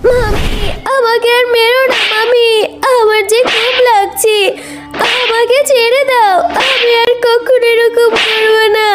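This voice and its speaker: A poor, meek little orphan girl for a cartoon fairy tale. The voice is extremely high and thin, the delivery slow and plaintive. Loud children's music plays behind it. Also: flat delivery. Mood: crying, pleading. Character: poor orphan girl, meek, sad, overworked by her aunt.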